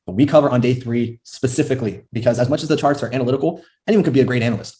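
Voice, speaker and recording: A man's voice: mid-range voice, dark tone. A man hosting a trading course on a video call, encouraging and repeating himself as he talks. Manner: encouraging, repeats words, says bro. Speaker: course host. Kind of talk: casual motivational talk on a video call.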